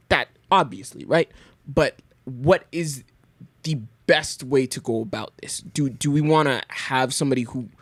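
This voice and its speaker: A young man from Canada, a podcast guest, talking things through in a thoughtful, halting way with many restarts. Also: fairly high pitch, no echo. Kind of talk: casual conversation.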